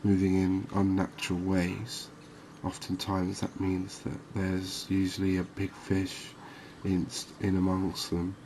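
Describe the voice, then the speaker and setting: A man giving calm, unhurried ASMR-style commentary on a fishing game, explaining things as he plays. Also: deep voice, noisy background. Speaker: gaming video host.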